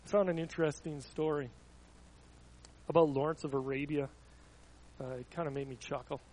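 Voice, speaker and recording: A man's voice: a little high, steady tone, normal speed, darkish tone, low-quality recording. A man preaching a sermon, telling everyday stories as illustrations, with frequent stumbling restarts.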